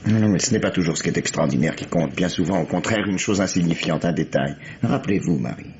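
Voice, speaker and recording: A man playing a patient, probing police commissioner with coaxing, deliberate questioning, in the style of an old French radio mystery drama. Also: deep voice, animated, a little mellow. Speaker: radio actor. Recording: muffled, noisy old radio recording.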